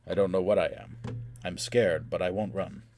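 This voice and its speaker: A man playing a blunt, defiant, hot-tempered man in an audiobook, with terse, forceful, tense delivery. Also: deep voice, steady tone, normal speed, slight background noise. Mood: scared, defiant.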